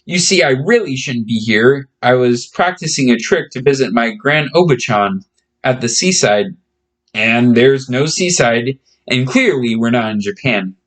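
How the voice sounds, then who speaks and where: A man's voice: medium pitch, expressive, a little slow, close to an everyday voice. Casting: male reader as very small boy wizard in a storybook, earnest, anxious, formally courteous. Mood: polite, worried. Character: tiny young Japanese boy wizard, polite, earnest, anxious.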